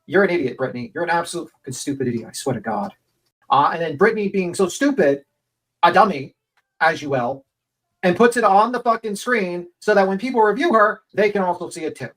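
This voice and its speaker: A man with a high voice rants angrily in an online commentary style. He is scornful and insulting, and he swears freely. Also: lively intonation, fairly quick, low-quality recording. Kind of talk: angry online rant.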